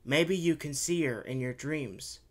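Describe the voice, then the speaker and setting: A man voicing a mild, uncertain father who talks gently and doubtfully. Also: a little high, measured pace, pitched above the performer's own voice.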